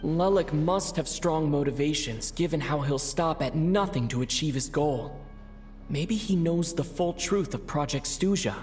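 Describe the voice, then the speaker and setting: Man as a higher-voiced pensive young hero. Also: measured pace, darkish tone, soft background music, close to an everyday voice. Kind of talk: scripted game story dialogue.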